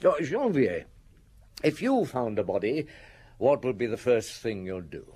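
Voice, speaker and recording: An actor playing a patient, shrewd middle-aged police chief inspector in a radio drama, measured and probing, with thoughtful hums. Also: male voice, mid-range voice, big pitch swings, warm tone, breathy edge. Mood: firm, probing.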